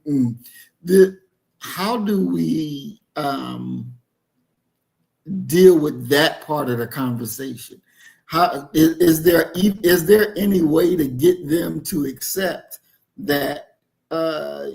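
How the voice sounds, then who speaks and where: A man's voice: a little high, vocal fry, a little mellow, poor audio. A man hosting a conversational podcast interview, hesitant and searching for words as he puts his questions.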